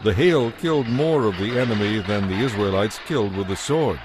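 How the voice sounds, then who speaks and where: A man narrating scripture from a script in a measured, solemn, dramatic way. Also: lowish voice, background noise. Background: music.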